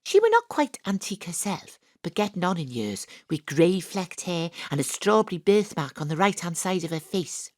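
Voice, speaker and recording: A woman's voice: lowish voice, very animated, normal speed, hardly any pauses, darkish tone, no room sound, studio quality. An actress with a Welsh accent playing a wry, sporty amateur detective who narrates her own story with self-mocking humour. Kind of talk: first-person radio drama narration. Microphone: home studio mic.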